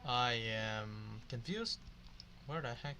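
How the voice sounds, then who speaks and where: A man's voice: mid-range voice, takes it slowly, bright, forceful tone, a little hiss or hum. A young man giving casual, slangy gameplay commentary, muttering asides as he reacts. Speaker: gaming streamer reacting to a story quest.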